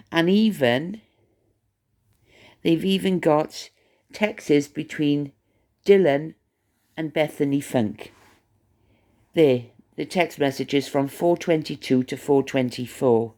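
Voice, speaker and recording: A Welsh-accented vlogger talks through the day's gossip in a loose, rambling way. The voice sits at an ordinary middle pitch, chatty and stop-start, often doubling back and repeating words while thinking out loud. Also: slow pace, pauses often. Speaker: channel host. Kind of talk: rambling gossip commentary to camera.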